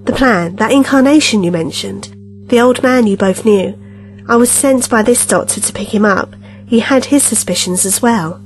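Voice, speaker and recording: Composed female ally, acted by a woman: fairly high voice, matter-of-fact and reassuring, sci-fi audio drama. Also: moderate pace, faint music behind, close to an everyday voice, poor audio. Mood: confident. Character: calm, knowing female ally with a secret plan. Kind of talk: science-fiction audio drama acting.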